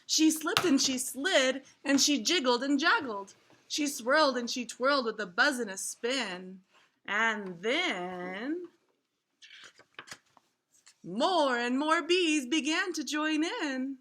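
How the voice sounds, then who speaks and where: High, bright-voiced woman reading to children. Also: takes it slowly. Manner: expressive, instructive to children. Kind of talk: children's storybook read-aloud.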